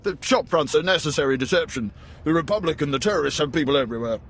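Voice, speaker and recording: An actor playing an older, seasoned station chief in a video game, unhurried and avuncular. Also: male voice, fairly high pitch, animated, a bit bright, a little hiss or hum. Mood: grave, measured. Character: seasoned older male Imperial intelligence station chief, genial, measured. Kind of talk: video game dialogue acting.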